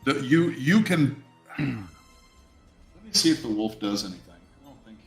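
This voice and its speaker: A man running a tabletop game, improvising narration haltingly, thinking aloud and swearing casually. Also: medium pitch, animated, gravelly. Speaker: game master. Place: indoor room on a live stream. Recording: roomy echo with music underneath.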